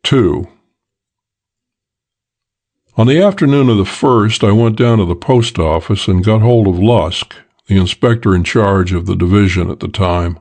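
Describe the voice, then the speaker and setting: A man's voice: lowish voice, a little slow, a little mellow. A man reading scripted first-person detective fiction as an audiobook narrator.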